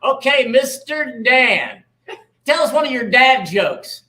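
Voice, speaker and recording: A man acts out a star-struck small-town fellow. His voice sits high and bright. He gushes and rambles in a folksy, excitable way, as in a live comedy table read. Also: takes it slowly, full character voice. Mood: excited, star-struck.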